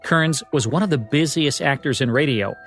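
A man's voice: fairly high pitch, moderate pace, a bit bright, faint music behind. An American man reading scripted narration as the host of a radio show.

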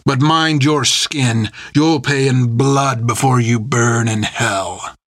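A cruel, seething pirate captain voiced by a man spits each word and roars his threats. The voice rises well above his usual pitch in hot anger, in a dry, close recording with no room sound. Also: expressive, takes it slowly, a bit bright, no room sound, full character voice. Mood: furious.

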